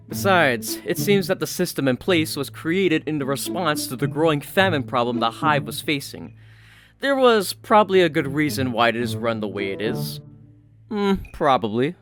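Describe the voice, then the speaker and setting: A man narrates a fantasy story in a slightly high register. His delivery is scripted storytelling, and music plays clearly underneath his voice throughout. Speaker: audiobook-style story narrator. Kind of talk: scripted fan fiction narration. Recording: music playing behind the voice.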